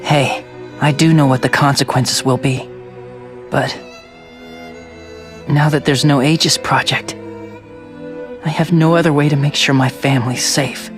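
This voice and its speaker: An actor playing a sincere, determined young soldier in a video game, speaking earnestly and a little haltingly. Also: male voice, on the high side, steady tone, over music, natural voice. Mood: torn, determined. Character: earnest young male soldier devoted to his family. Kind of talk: dubbed video game cutscene acting.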